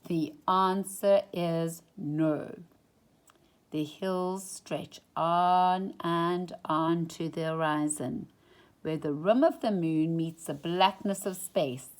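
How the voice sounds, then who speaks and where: A woman reading a children's picture book aloud in an expressive, dramatic storytime style. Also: on the low side, takes it slowly. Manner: dramatic, drops into hushed whispers for suspense. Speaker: storytime reader.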